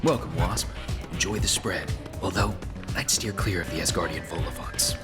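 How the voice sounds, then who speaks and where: Deep, courteous superhero played by a man. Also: moderate pace, close to an everyday voice. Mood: polite, dry. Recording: loud music underneath.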